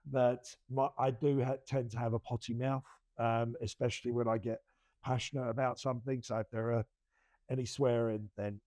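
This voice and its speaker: Grey-haired guy co-hosting, mellow low-key voice, dry close sound, lots of restarts. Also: little pitch movement, moderate pace, dry recording. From England. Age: middle-aged. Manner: rambling, many restarts, self-deprecating laughs. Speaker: podcast co-host. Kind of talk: casual chat.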